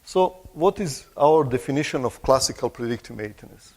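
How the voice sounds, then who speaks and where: A middle-aged man with a Croatian accent giving a conference presentation, halting and restarting phrases and clearing his throat now and then. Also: medium pitch, animated, a little mellow. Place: large conference hall. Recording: long hall reverb.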